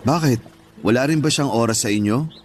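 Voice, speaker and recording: An actor playing a gentle, flattering and sympathetic male friend with a Filipino accent, in conversational radio drama style. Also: mid-range voice, a little fast, natural voice. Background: background music.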